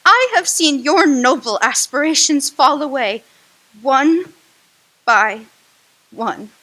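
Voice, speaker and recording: A woman playing a young woman who ends her engagement, grave, slow and emotional in radio drama acting. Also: high-pitched, lively intonation, bright, forceful tone, full character voice, low-quality recording. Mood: sorrowful, resolute.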